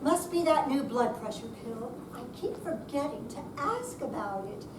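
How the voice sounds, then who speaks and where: A woman's voice: sits high, lively intonation, moderate pace. A woman in an amateur stage reading, playing a stubborn, tired older woman recovering with a cast. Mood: grumbling, exhausted. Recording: roomy, distant, noisy live room recording.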